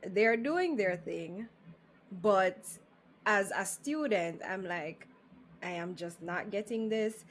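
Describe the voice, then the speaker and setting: A woman's voice: medium pitch, slow. A Jamaican woman hosting a podcast, asking questions in a chatty way, laughing easily and mixing in Creole. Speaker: podcast host, nurse. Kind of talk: casual podcast interview.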